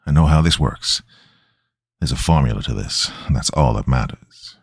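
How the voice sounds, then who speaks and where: A male narrator acts out a stern man leading an exorcism in a horror tale. His voice is very deep and solemn, commanding and prayerful, recorded dry and close. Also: animated, moderate pace, gravelly, breathy edge, dry recording, heavily acted.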